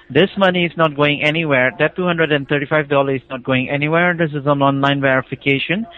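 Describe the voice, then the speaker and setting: A man with an Indian accent talking over the phone as a caller, explaining a procedure in an insistent, repetitive, persuasive way. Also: mid-range voice. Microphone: telephone call. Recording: tinny, band-limited phone line.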